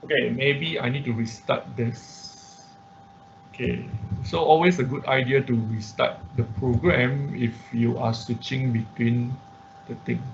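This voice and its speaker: Gravelly man teaching over muffled, noisy audio. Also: mid-range voice, fairly even intonation. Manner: halting, thinking aloud while troubleshooting. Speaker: instructor. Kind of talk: live software demonstration for an online class. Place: indoors, online class at a computer. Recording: muffled online class audio with background noise.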